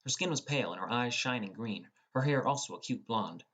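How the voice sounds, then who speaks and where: A man's voice: medium pitch, animated, a little fast, a bit bright, breathy edge. A young man reading scripted first-person fantasy narration as a dramatic storyteller. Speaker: audiobook narrator.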